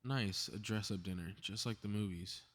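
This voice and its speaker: A man's voice: lowish voice, moderate pace, fairly bright tone. A man acting a cocky, mischievous young male superhero in a scripted ASMR audio drama, muttering sarcastic, casually profane asides. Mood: scheming, amused.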